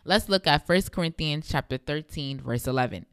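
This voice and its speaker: Deep voiced young woman doing a faith podcast, smooth and emphatic, dry close mic. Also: animated, normal speed, a bit bright, dry recording. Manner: emphatic, repeating phrases for effect. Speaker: podcast host. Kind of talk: devotional podcast talk.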